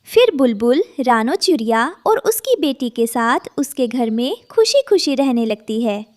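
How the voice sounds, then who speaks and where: A woman reads a scripted children's tale as narrator. The voice is very high and highly animated, in a dry, close recording. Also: measured pace, no room sound.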